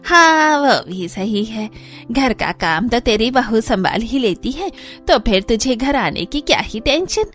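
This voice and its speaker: A woman's voice: a little high, very animated, measured pace, few pauses, fairly bright tone, soft background music. A woman voicing a chatty, nosy but sympathetic village neighbour in a cartoon. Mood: chatty, teasing.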